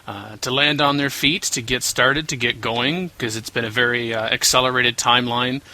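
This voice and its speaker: A man's voice: mid-range voice, steady tone, moderate pace, pushed and bright. A man co-hosting a casual podcast, joking around and then explaining things at length. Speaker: guest co-host.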